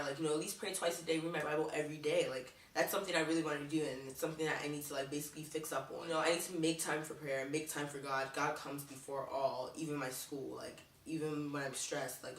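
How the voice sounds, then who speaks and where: A woman's voice: low-pitched, fairly bright tone, reverberant, recorded from a distance, a little hiss or hum, rough recording. A young woman vlogging casually to camera, chatting and leaning on "like" in nearly every sentence. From Baltimore, USA. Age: young adult. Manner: chatty, leans on "like" constantly.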